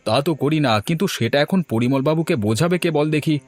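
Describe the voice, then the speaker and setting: A man's voice: fairly high pitch, lively intonation, fast, faint music behind, natural voice. A man voicing a weary, downtrodden village labourer in a cartoon, fretful and lamenting. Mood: frustrated. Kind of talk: cartoon dialogue.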